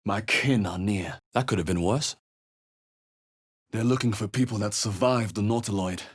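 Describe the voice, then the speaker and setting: Husky, wry fantasy adventurer. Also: male voice, on the low side, moderate pace. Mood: dry, watchful. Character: adventurer hero of a fantasy game, wry, watchful. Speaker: video game voice actor. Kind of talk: fantasy game character voice lines, short exclamations and remarks.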